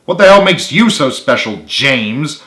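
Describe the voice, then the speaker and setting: A man voicing an angry, sarcastic young woman who snaps and shouts. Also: mid-range voice, huge pitch swings, normal speed, echoey room.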